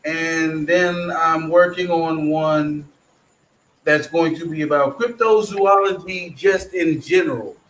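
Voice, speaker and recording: A man's voice: on the high side, slow pace, fairly bright tone, slight background noise. A man hosting a casual online group chat, rambling loosely about his plans and swearing freely. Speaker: stream host. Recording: echoey room.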